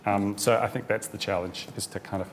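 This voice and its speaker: A man's voice: fairly low pitch, fairly even intonation, brisk, a little hiss or hum. A man with a New Zealand accent answering on a panel, hesitant with many fillers and restarts.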